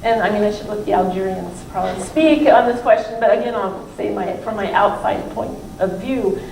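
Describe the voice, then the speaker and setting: A woman speaks as an academic answering questions about her book, in a conversational, discursive way with many self-qualifications. Her voice sits at a middling pitch. The sound is roomy and distant, with faint noise. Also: normal speed, gravelly, darkish tone. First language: not French (non-native French speaker). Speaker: professor and author presenting her book. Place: library event room, recorded from a distance.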